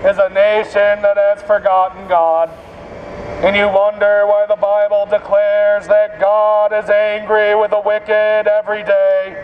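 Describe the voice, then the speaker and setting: A male street preacher declaims slowly and solemnly through a bullhorn. His delivery is repetitive and pitched high. The sound is dull, with some traffic noise around him outdoors. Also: monotone. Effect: amplified through a bullhorn. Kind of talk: open-air evangelistic sermon. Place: outdoors on a busy city street. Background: street and vehicle noise.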